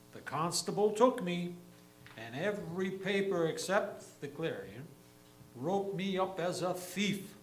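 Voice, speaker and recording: A man playing a regretful, disgraced small-town clerk and family man in a halting dramatic monologue, recorded with a noticeable hum. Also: a little high, poor audio. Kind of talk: dramatic monologue, staged poetry reading. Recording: noticeable hum and background noise.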